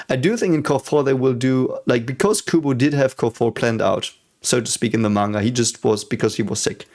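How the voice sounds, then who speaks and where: Gravelly German-accented man chatting on stream. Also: mid-range voice, a little mellow. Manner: rambling, many fillers and restarts. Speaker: streamer answering chat. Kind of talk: casual live-stream chat.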